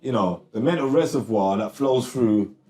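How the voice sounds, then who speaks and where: A man's voice: mid-range voice, expressive, moderate pace, mellow. A man, a rapper guest chatting casually in slang and laughing often. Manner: laid-back slang, frequent "you get me", laughs often. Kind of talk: casual interview chat. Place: recording studio. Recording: roomy studio sound.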